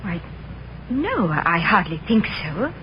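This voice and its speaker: Old muffled radio play: young stage wife, proper, guarded, very expressive intonation. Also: female voice, on the low side, slow pace. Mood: uneasy. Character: young married stage company woman, polite but guarded. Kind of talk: 1950s radio drama acting. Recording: muffled, noisy 1950s radio recording.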